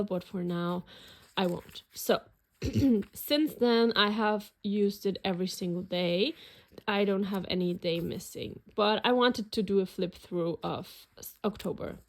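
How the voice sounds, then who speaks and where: A woman's voice: mid-range voice, measured pace. A woman whose first language is German, casually narrating a journal flip-through in a rambling, hesitant way with many fillers. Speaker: journaling vlogger.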